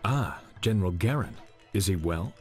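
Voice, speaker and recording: An actor playing a stoic, brooding warrior prince in a video game, terse in manner. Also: male voice, low-pitched, animated, moderate pace, faint music behind. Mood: calm, questioning. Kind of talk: dramatic game cutscene acting.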